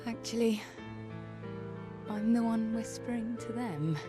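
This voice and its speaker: An actress playing a gentle young woman on an expedition in a video game, speaking softly and reflectively. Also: a little high, slow, over music. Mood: wistful. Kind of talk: video game cutscene acting.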